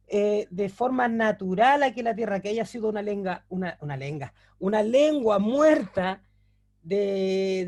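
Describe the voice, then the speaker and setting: A man's voice: high voice, darkish tone. Man explaining a Bible lesson. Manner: explanatory, with frequent fillers and self-corrections; asks the listeners rhetorical questions to check understanding. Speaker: bible teacher. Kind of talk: recorded class lecture.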